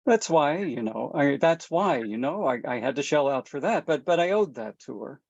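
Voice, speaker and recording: A man reading a halting, gravelly father. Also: fairly high pitch, expressive, a little mellow, highs cut off. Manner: halting, stammering. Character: guilt-ridden estranged father. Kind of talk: table read.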